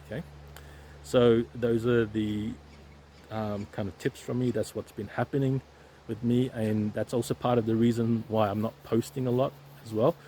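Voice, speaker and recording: A man's voice: mid-range voice, level tone, moderate pace, dark tone, a little hiss or hum. A man vlogging outdoors on a hike, talking casually about his stutter with frequent repeated sounds and restarts. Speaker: YouTuber sharing stuttering advice. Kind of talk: casual vlog talk to camera. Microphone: weak handheld setup, shaky.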